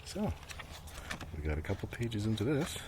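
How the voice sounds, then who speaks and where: A man softly rambling in casual ASMR style, hushed and at times close to a whisper, talking through things he is showing. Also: fairly low pitch, very animated, normal speed. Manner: hushed, sometimes dropping into a whisper. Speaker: ASMR video creator. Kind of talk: soft-spoken ASMR show-and-tell, rambling casual commentary. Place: outdoors in a gentle breeze. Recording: clearly noisy outdoor ambience. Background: outdoor ambient noise, gentle breeze.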